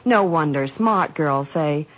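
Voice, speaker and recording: A woman speaks in chatty, scripted 1940s commercial style. The voice is deep for a woman, husky and lively in intonation. The vintage recording is dull. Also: slow. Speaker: commercial spokeswoman. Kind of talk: 1940s scripted radio advertising chat.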